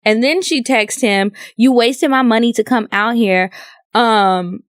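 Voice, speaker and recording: A woman's voice: fairly high pitch, a little slow, no room sound. Gossipy woman co-host, dry sound. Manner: gossipy storyteller, reading out news. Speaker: podcast co-host. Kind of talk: casual podcast chat.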